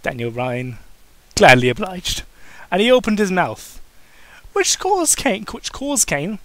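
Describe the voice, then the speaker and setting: A man narrates fan fiction as an amateur, informally and with a mocking streak. His voice is on the high side and bright, recorded very dry. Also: sing-song, slow pace, dry recording. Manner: informal, breaks off for mocking asides. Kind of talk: comic dramatic reading of fan fiction.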